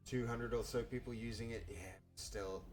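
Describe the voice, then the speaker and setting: A man's voice: medium pitch, fairly even intonation, normal speed, darkish tone, faint music behind. A man with a Dutch accent, a native Dutch speaker, thinking aloud as he codes live on stream, hesitant and full of restarts. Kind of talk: live coding commentary.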